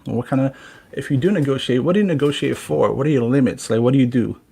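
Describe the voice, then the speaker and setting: A man's voice: mid-range voice, fast, vocal fry, mellow, slightly rough. A man hosting a casual podcast, talking bluntly and swearing freely. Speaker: podcast host.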